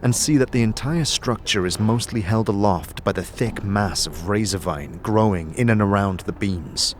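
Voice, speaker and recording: A man's voice: lowish voice, normal speed, a little hiss or hum. A man narrating a fantasy audio drama in the second person, with dramatic, descriptive storytelling and music behind him. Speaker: narrator and game master.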